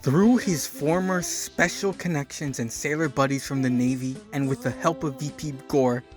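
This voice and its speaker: A man's voice: fairly high pitch, moderate pace. A man giving a theatrical, emphatic dramatic reading of a written story. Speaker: narrator doing a dramatic reading. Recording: music behind the voice. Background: music.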